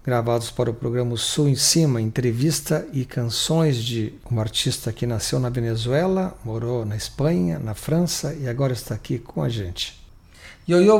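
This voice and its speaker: A man's voice: mid-range voice, gravelly, a little mellow, echoey room. A man hosting a radio interview, with a friendly way of talking. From Brazil. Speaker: radio host.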